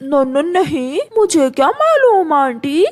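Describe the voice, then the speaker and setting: A woman's voice: high voice, big pitch swings, slow pace, full character voice. A woman voicing a mischievous young boy crow in a children's cartoon, wheedling and evasive. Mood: evasive, scared. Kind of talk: children's animated story dialogue.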